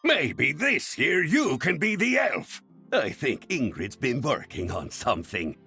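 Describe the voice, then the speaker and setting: An actor playing a gruff, wry older father in a video game, gravelly and grumbling. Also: fairly high pitch, sing-song, measured pace, sharp tone, soft background music, heavily acted.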